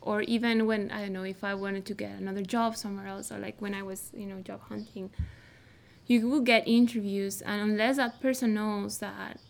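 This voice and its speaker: Gravelly woman with a Mexican accent. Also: mid-range voice, fairly even intonation, normal speed. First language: Spanish. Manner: reflective, searching for words. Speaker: guest. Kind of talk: casual interview conversation.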